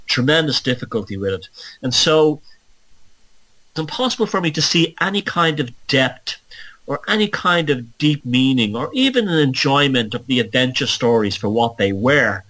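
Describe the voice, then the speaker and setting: A man's voice: medium pitch, fairly bright tone, low-quality recording. A man from Ireland with an Irish accent, hosting a podcast and talking through a digressive solo monologue, often correcting himself. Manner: musing, digressive, self-correcting. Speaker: podcast host.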